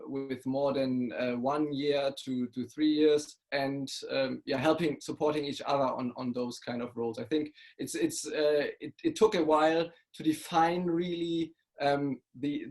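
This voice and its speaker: A young man from Germany, a startup CEO answering haltingly with many fillers and restarts over a video call. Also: a little high, a little mellow, poor audio. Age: young adult. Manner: hesitant, many ums and restarts. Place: home study with bookshelves.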